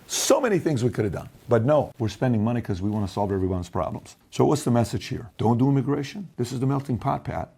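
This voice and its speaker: A middle-aged man hosting an opinion monologue, arguing emphatically and mimicking his opponents in quick quoted asides. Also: mid-range voice, expressive, creaky, mellow, slightly rough. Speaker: host.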